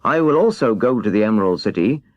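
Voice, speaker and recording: A man's voice: lowish voice, expressive, fast, lo-fi sound, noisy background, natural voice. Man voicing a polite, earnest tin man, lilting. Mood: earnest, hopeful. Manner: courteous, earnest, careful. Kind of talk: children's storybook character reading.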